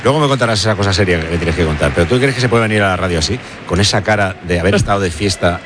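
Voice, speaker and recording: A man's voice: sits low, rapid-fire, background noise. A middle-aged man hosting a sports radio show, joking and teasing his guests and crew.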